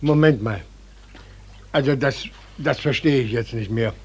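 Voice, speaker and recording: An actor playing an astonished, sympathetic gentleman listener in a 1940s German radio play, interjecting in disbelief. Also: mid-range voice, a little slow, husky, dull audio. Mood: incredulous. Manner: interjecting, incredulous. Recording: old radio recording with faint noise.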